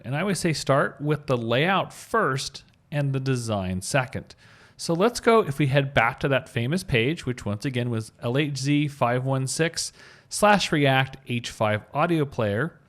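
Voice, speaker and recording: A man giving an informal screencast tutorial as an instructor, thinking aloud as he talks through each step. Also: mid-range voice. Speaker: web coding instructor.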